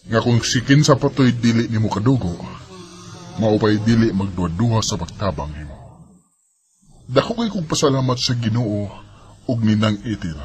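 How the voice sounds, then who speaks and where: A young man voicing a grateful new graduate in a radio drama, earnest and thankful, with a husky voice. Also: fairly low pitch, a little mellow, a little hiss or hum, lightly acted. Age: young adult.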